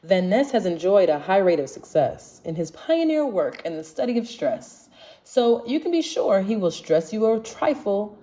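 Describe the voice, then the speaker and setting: Female storybook reader, soft-edged mellow voice, highly varied intonation, expressive singsong delivery of rhyming verse for kids. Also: normal speed. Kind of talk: children's picture book read-aloud in rhyme.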